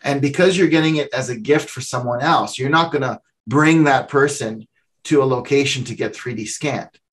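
A man's voice: medium pitch, moderate pace, a bit bright. A middle-aged man, a company founder, giving a long explanatory answer on a video call from his home office, talking with his hands.

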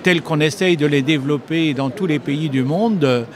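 An older man, a retired journalist, answering interview questions in a measured, explanatory way with frequent hesitations, at a book fair stand into a handheld mic. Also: a little high. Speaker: retired agricultural journalist, author. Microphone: handheld mic held by interviewer. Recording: noisy crowded hall. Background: crowd chatter.

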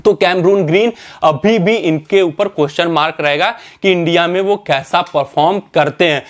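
A young man with a high voice talks through a cricket team lineup in front of a screen. He explains step by step, pausing on fillers and correcting himself as he goes. The sound is from an indoor studio. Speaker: cricket news presenter. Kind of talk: cricket analysis talk to camera.

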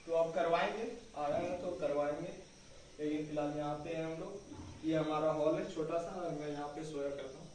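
A man's voice: on the high side, fairly even intonation, normal speed, a little mellow, slight background noise. Young man touring bare echoey rooms. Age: young adult. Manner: chatty, walks while talking to a filming sister. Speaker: vlogger giving a house tour. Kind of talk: casual home tour vlog. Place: small concrete house, bare rooms and rooftop. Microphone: camera held by someone else, a few steps away. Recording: echoey bare rooms, distant camera mic.

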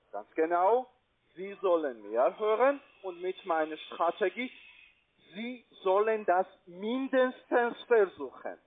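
A man with a foreign accent giving a pushy, repetitive phone sales pitch in halting non-native German. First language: not German. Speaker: call centre investment salesman. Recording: tinny band-limited phone line.

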